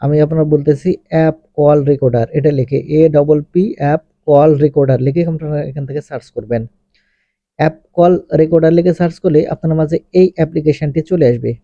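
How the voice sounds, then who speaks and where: A man's voice: fairly high pitch, steady tone, brisk, dry recording. A man presenting a step-by-step tech tutorial as a voice-over, with repetitive, methodical phrasing. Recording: dull, lo-fi close recording.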